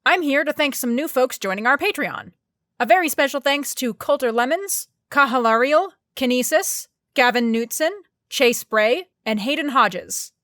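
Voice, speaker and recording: Lady podcast director reading a scripted announcement, highish voice, super dry sound. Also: expressive, normal speed, a bit bright, no room sound. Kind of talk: scripted announcement, thanking supporters.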